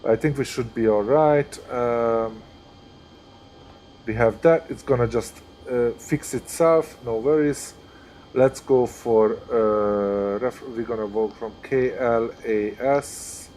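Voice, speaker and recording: A man's voice: mid-range voice, slow pace, darkish tone, a little hiss or hum. A man with a Hungarian accent, streaming live and commenting casually as he thinks aloud through each step. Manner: chatty, thinks aloud while working through steps. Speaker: flight sim streamer.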